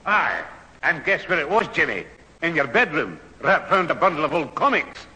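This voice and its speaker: A grumpy old Scottish grandfather with a bright, fairly high voice. He blusters in 1970s radio sitcom style. The old recording has hall reverb and faint hiss. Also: very animated, normal speed, heavily acted. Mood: indignant.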